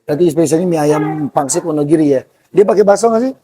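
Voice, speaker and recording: A hoarse man, excitedly vlogging about street food outdoors at a stall and calling his viewers "guys". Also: on the high side, fast talker. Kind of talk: casual street-food vlog.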